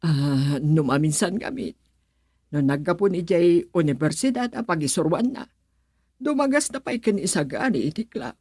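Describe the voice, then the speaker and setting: A chatty, hospitable middle-aged auntie. Her voice is lowish and husky, with a lively, swinging melody. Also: normal speed, no echo, clean studio sound. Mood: chatty, puzzled. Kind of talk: radio drama dialogue.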